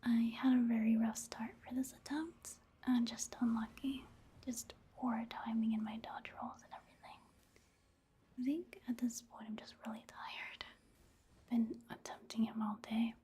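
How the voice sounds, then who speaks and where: A woman giving soft-spoken ASMR commentary over gameplay in a breathy, hushed whisper, unhurried. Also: a little high, fairly even intonation. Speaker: ASMR video creator narrating her gameplay.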